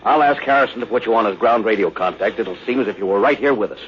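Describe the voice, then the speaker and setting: An actor as a gruff American spaceship captain. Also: male voice, on the low side, fast, lightly acted. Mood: regretful, firm. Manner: clipped orders, gruff authority. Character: male spaceship captain, commanding, short-tempered but loyal. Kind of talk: 1950s radio drama acting. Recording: dull, noisy old radio recording.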